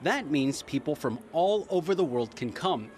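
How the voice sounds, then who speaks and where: A man reporting a light feature story in a playful, animated way. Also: on the high side, normal speed.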